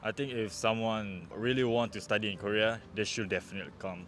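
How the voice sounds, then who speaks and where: Lowish young Malaysian man outdoors. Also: steady tone, fairly quick, fairly bright tone. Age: 20s. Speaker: university student interviewee. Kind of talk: casual street interview answers. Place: outdoors on a campus. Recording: faint outdoor urban background noise. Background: faint outdoor noise.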